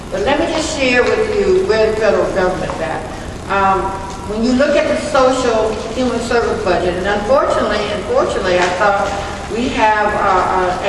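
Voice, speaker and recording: An older woman with a loud, chatty delivery speaks at a community forum, full of fillers and asides. She is heard from a distance in a big hall with long reverb. Room noise sits clearly underneath. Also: medium pitch. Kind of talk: public talk at a panel forum. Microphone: handheld microphone. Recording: echoey hall from far off, noisy.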